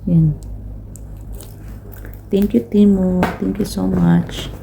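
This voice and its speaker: A young Filipino woman vlogs from her employer's home, unboxing and thanking people in halting, filler-heavy speech. Her voice is very dark and mellow and sits fairly flat. Faint noise hums underneath. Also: mid-range voice, creaky. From Philippines. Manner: halting, many fillers, mixes Tagalog and English. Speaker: vlogger. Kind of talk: casual unboxing vlog.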